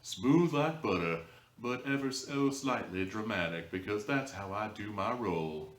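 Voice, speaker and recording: A man voicing a self-important, slightly dramatic police investigator with a smooth Southern US drawl. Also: lowish voice, lively intonation, unhurried. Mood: smooth, brooding. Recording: roomy echo, distant from mic.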